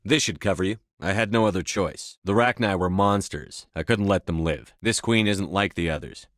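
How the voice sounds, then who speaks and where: A man's voice: low voice, a bit bright, no echo, studio quality. A man playing a resolute, blunt military commander in a science fiction video game, speaking firmly and tersely with authority. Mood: firm, questioning, then firm, defensive. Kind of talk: scripted game dialogue.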